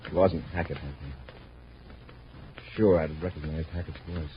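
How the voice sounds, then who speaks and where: An actor playing a steady, authoritative space commander in a 1950s radio drama, on an old recording. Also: male voice, very deep voice, moderate pace. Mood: brisk, decisive. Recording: muffled, noisy vintage radio recording.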